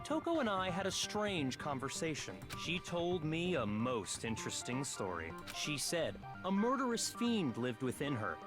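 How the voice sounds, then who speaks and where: A man's voice: fairly high pitch, huge pitch swings. Man playing an arrogant young man, swooping delivery. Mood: cool, accusing. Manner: condescending, deliberate. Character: arrogant, cold young man coolly exposing a secret. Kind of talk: dramatic video game dub. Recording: loud music over the voice.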